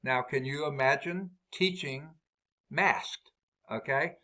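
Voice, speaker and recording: A man with a loud voice, leading a math department staff meeting over a video call. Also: fairly high pitch, slow pace. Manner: loud voice, talkative and explaining. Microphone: laptop built-in mic on a video call.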